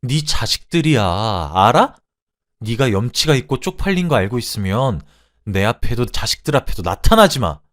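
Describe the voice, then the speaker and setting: A man acting a betrayed middle-aged wife who makes bitter, sharp accusations in a dramatized story. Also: lowish voice, lively intonation, a little fast, lightly acted. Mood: furious outburst.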